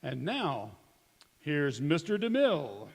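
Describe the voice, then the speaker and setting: An actor playing an eager old-time radio announcer, delivering a persuasive advertisement in 1940s style. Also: male voice, fairly high pitch, huge pitch swings, slow, creaky, slightly rough, heavily acted. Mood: upbeat, selling. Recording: echoey hall, long reverb.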